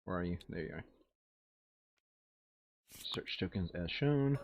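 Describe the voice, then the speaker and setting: A man's voice: sits low, expressive, takes it slowly, slight background noise. A man chatting casually and thinking aloud as he plays a board game, sometimes muttering to himself, on a slightly dull lo-fi microphone. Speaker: board game player recording a playthrough.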